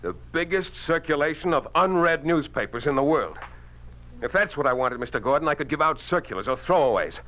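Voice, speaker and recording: Defiant, animated American radio-drama newspaper editor. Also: male voice, a little high, brisk, slight background noise. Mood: bitter, impassioned. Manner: emphatic, oratorical. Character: defiant, principled male newspaper editor, bitterly outspoken. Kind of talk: 1950s radio drama acting. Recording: dull lo-fi 1950s radio recording.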